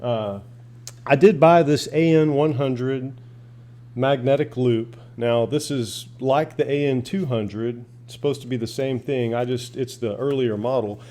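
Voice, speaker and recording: A plain-spoken man walks viewers through his hobby equipment. His voice sits at a middle pitch. He talks casually and wanders from point to point like an amateur reviewer. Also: unhurried, mellow. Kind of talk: casual product demonstration.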